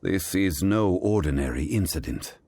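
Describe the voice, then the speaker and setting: An actor playing a composed, wise and dignified gentleman in a video game, speaking in a formal, measured way. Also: sits low, animated. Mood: grave, thoughtful.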